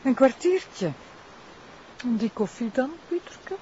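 A woman's voice: high-pitched, lively intonation, slow pace, noisy background. Wry Flemish woman investigator, teasing and informal, very varied melody, slightly muffled drama sound. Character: wry, self-assured woman working alongside the police commissioner.

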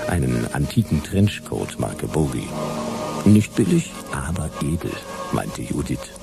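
Very deep, creaky hard-boiled detective. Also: male voice, big pitch swings, measured pace, heavily acted. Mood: wry, laconic. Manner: wry, laconic. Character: hard-boiled, grumpy, nostalgic male private detective of the future. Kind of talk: German radio play, first-person noir narration. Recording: loud music behind the voice.

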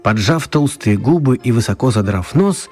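A man narrating a children's radio play as an expressive storyteller. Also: medium pitch, normal speed, faint music behind, natural voice. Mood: storytelling.

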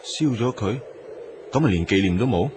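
A man's voice: on the low side, lively intonation, moderate pace, darkish tone, a little hiss or hum, natural voice. A man acting as a wistful young man in a radio drama, speaking and narrating in Hong Kong Cantonese. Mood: wry, gentle.

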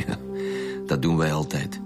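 Very deep-voiced Flemish chief inspector. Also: male voice, level tone, unhurried. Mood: dry, stern. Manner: curt, dry. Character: gruff, dry senior male chief police inspector. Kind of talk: radio drama acting. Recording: music behind the voice.

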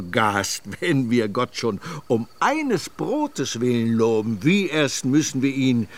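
An actor playing an eccentric old apothecary who tells anecdotes slowly and with relish, in a radio play. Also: male voice, medium pitch. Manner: lively, slow storytelling, fond of anecdotes. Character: eccentric old male apothecary, bookish storyteller.

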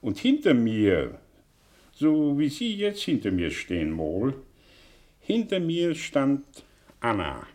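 An actor playing a melancholy, sardonic exiled professor in a German radio drama, musing and declaiming with long pauses. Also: male voice, mid-range voice, huge pitch swings, a little mellow, full character voice. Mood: wistful.

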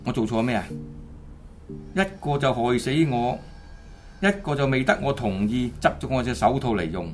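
A man's voice: mid-range voice, normal speed, long pauses, soft background music, lightly acted. A man voicing the bitter, threatening ghost of a young athlete in a radio horror drama, with a Hong Kong Cantonese accent. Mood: aggrieved. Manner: accusing, threatening.

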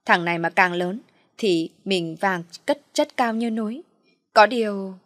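A woman's voice: medium pitch, measured pace, a bit bright, dry recording, lightly acted. Woman plays scheming pregnant wife for audio drama: calculating, scornful or wheedling, dry close sound. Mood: coaxing, sly.

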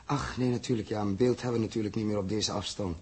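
A husky, commanding spaceship captain. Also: male voice, fairly low pitch, fairly even intonation, fast, a little mellow. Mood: businesslike. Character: commanding, practical spaceship captain running his crew. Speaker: radio drama actor. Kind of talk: 1960s Dutch radio play acting.

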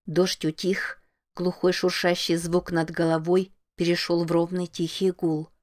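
A woman reading a novel aloud as an audiobook narrator, in a calm, measured way. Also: fairly low pitch.

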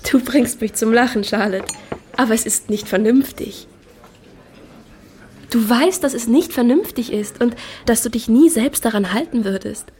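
An actress in a German radio drama playing a witty, spirited young gentlewoman, playful, teasing and self-possessed. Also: on the high side, moderate pace, close to an everyday voice. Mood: earnest, amused. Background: music.

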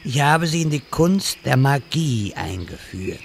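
A man's voice: medium pitch, big pitch swings, creaky, slight background noise. A man playing a pompous, theatrical old wizard in a comedy audio drama. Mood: solemn. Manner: slow, theatrical delivery.

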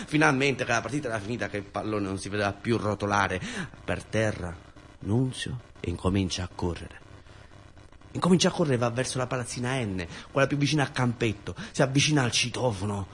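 A man's voice: lowish voice, animated, brisk, a bit bright, slight background noise. A man with a southern Italian accent narrating a colloquial theatrical monologue, with conversational asides and repetitions. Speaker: storyteller and stage actor.

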